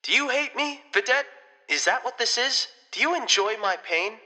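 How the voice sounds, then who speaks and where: A man's voice: very high voice, animated, moderate pace, sharp tone, exaggerated voice. A man playing a whiny, indignant, melodramatic member of a biker crew in a comedic audio drama. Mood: wounded, indignant.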